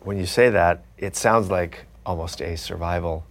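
A man's voice: low-pitched, steady tone, moderate pace. Man asking short curious questions. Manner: brief, curious prompting questions. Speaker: interviewer. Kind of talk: casual interview chat.

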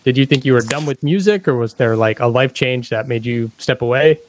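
A man's voice: medium pitch, brisk, a little hiss or hum. A man hosting a podcast, interviewing in a casual, informal way and affirming what the guest says.

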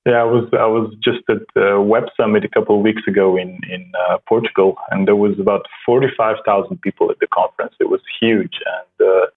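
A man with a Slovenian accent, a startup co-founder chatting in a soft-spoken, halting way with many restarts. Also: on the low side, fairly even intonation, husky. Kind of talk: casual conversation. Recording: tinny phone-like line.

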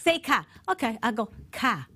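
Utica woman with an upstate New York accent, animated storyteller who mimics other people. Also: a little high, normal speed. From East Utica, upstate New York. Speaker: guest, mother of the host. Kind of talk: casual chat, storytelling.

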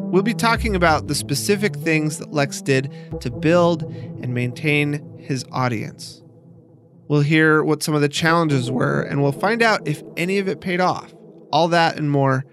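An American man hosting an audio documentary, narrating conversationally and talking directly to the listener. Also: a little high. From United States. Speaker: podcast host.

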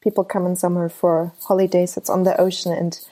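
A woman's voice: fairly low pitch, flat delivery, a little fast, dark tone. A woman in her twenties from Germany, a photographer answering interview questions in a hesitant, casual way with many fillers. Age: 20s. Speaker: guest photographer.

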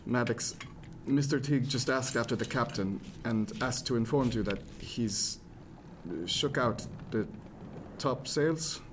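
An actor playing an anxious, frank ship's doctor of the 1710s in a radio drama, with formal old-fashioned phrasing and an earnest manner. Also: male voice, mid-range voice, unhurried, background noise, natural voice. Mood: uneasy. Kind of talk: serial radio drama acting, period seafaring. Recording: music behind the voice, plucked guitar.

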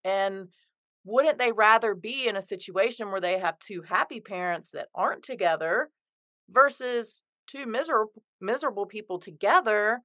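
A woman hosts a podcast in a bright, forceful voice. Her sound is dry and close, and she asks her guest questions in an engaged, empathetic way. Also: mid-range voice, dry recording. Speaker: podcast host. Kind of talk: conversational interview.

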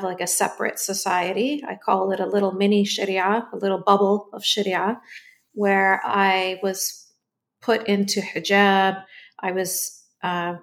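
A woman born and raised in Canada, a guest author in a podcast interview, telling her story in an earnest, grateful way. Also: mid-range voice.

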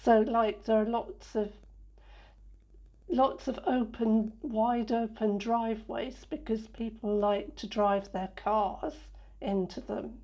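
Slow, mellow-voiced English woman. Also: on the high side, steady tone. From England. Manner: unhurried, reflective, many fillers and restarts. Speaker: interviewee. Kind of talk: conversational interview answers.

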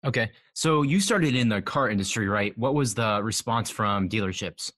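A man's voice: lowish voice, animated, normal speed, a bit bright. A man who works as an optometrist, co-hosting an interview and asking short clarifying questions. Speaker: optometrist co-host. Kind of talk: industry interview.

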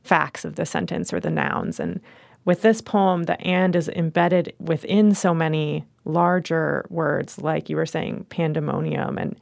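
A woman with a low, creaky voice discusses literature as a host and editor. She is measured and analytical. Her voice sounds very dry, with no room around it. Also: steady tone, darkish tone, dry recording. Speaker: magazine editor and podcast host.

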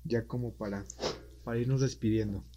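A man's voice: mid-range voice, steady tone, a little fast, noisy background. A man hosting a podcast interview, asking questions in a friendly, conversational way. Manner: friendly, conversational, wraps up warmly. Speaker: podcast host. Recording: dull lo-fi audio.

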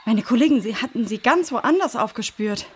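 A woman's voice: on the high side, expressive, fairly quick, slightly rough. A woman playing a protective, knowledgeable female forest guide in a children's radio play, explaining things in a reassuring way. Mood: explanatory.

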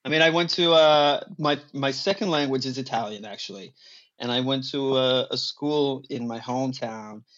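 A man's voice: mid-range voice, moderate pace, a bit bright. Spanish-native man, muffled lo-fi. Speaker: guest, actor. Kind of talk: casual interview talk.

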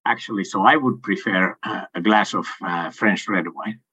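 Middle-aged man from Finland, native Swedish speaker; bright, forceful tone, hesitant delivery full of fillers, dull lo-fi call sound. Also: low voice, fairly even intonation, moderate pace, breathy edge. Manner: hesitant, many fillers, laughs often. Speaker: sales coach and company owner. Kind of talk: casual interview answers.

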